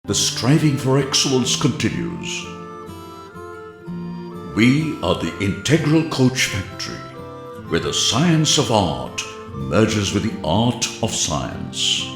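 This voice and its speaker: Deep-voiced male film narrator over music. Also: wildly swooping pitch, slow pace, reverberant. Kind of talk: scripted promotional film narration. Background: guitar music.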